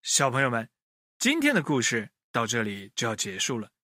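A man telling a children's story in an expressive way, speaking directly to his young listeners. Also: medium pitch, normal speed, no echo, clean studio sound.

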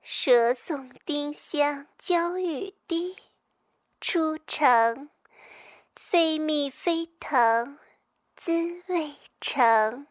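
Very slow, high-voiced courtesan songstress, acted by a woman. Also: dry recording, clean studio sound. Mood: coquettish, languorous. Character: alluring young courtesan songstress. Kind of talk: slow lyric recital in Chinese period audio drama.

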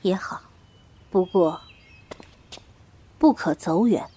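A woman playing a mature, caring martial arts master in a Chinese period audio drama, calm, measured and authoritative. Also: fairly low pitch, husky. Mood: mildly stern.

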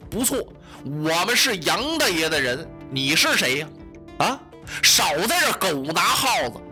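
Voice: medium pitch, sing-song, unhurried, bright, forceful tone, faint music behind, full character voice. A storyteller voicing a blustering sword-toting thug. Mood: menacing. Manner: blustering, threatening. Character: gruff male gang enforcer with broadsword.

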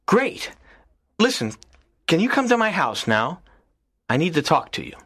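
An actor playing a lonely, earnest teenage schoolboy in an audiobook, talking in a soft, low, pleading way. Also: male voice, big pitch swings, a little slow, breathy edge, no room sound, clean studio sound, full character voice. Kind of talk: audiobook character reading.

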